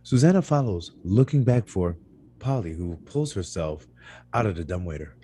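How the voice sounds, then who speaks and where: Deep, husky man reading stage directions. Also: animated, moderate pace, warm tone. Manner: stumbles over words and corrects himself. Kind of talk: live screenplay table read narration.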